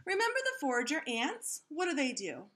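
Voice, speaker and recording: A woman's voice: sits high, wildly swooping pitch, moderate pace, a bit bright, voice pushed up, exaggerated voice. A woman reading a storybook as an eccentric, enthusiastic female science teacher, theatrical and instructive.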